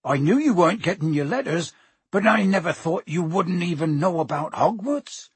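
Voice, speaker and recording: A man voicing a huge, gruff, hot-tempered but good-hearted giant in a booming, growling, folksy way for a storybook. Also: expressive, normal speed, dry recording, exaggerated voice. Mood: indignant, thundering.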